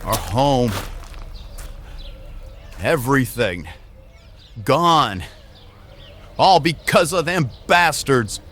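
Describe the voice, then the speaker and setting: A man's voice: medium pitch, sing-song, extremely slow, long pauses, a bit bright, voice pushed up, full character voice. A man voicing an embittered, shocked villager who has lost everything, in an audio story. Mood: anguished anger. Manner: bitter, emotional. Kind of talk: audio story character dialogue.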